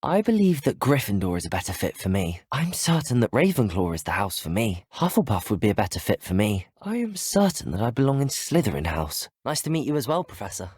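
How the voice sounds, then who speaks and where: An actor playing a polite, earnest teenage student wizard in a video game, with a British accent and courteous, articulate speech. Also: male voice, fairly low pitch, very animated, fairly quick, few pauses, darkish tone, slightly rough, no echo, studio quality. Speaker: video game player character. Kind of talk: scripted game dialogue.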